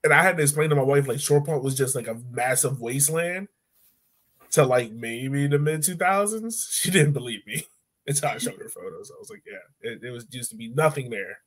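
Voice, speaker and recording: An American man with a mid-pitched voice hosts a sports podcast. He keeps to casual, bantering conversation, speaking over a video call from home. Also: brisk, a bit bright. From Richmond, Virginia, United States. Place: room at home.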